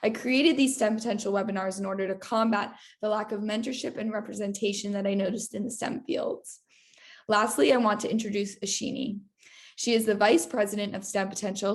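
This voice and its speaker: A young woman moderating an online webinar, giving a scripted, formal welcome and introductions over a video call. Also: mid-range voice. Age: young adult.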